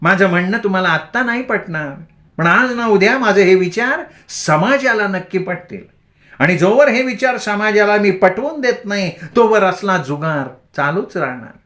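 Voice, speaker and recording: A man voicing an eccentric middle-aged village woman who lectures boys on gambling in a slow, sing-song, theatrical way. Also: high-pitched, expressive, fairly bright tone, voice pushed up. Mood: preachy, cackling.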